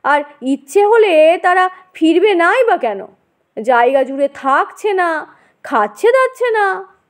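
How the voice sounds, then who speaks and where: An opinionated lady acted by a woman storyteller argues precisely in an audio story. The voice is very high and slow, with animated rises and falls. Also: exaggerated voice. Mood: argumentative.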